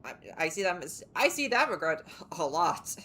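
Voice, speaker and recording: A woman giving casual live game commentary as a streamer, thinking aloud in a halting way. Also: fairly low pitch, very animated, a bit bright, slight background noise. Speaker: livestreamer playing a game.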